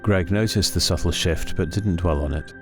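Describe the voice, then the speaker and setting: A man with an extremely deep voice reads a horror story. His delivery is scripted, measured and full of suspense. Prominent music runs under the narration.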